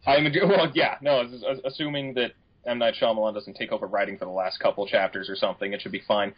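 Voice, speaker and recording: A man's voice: lowish voice, fairly even intonation, quick pace. A man co-hosting a casual podcast chat, rambling and easygoing as he gives his opinions. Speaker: podcast co-host. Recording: dull, lo-fi podcast audio.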